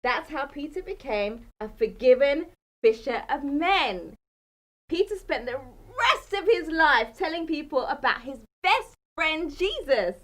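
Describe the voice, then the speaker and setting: High, very animated woman storyteller. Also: unhurried. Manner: dramatic, emphatic, asks the listeners questions. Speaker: children's storyteller. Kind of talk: bedtime Bible storytelling for children.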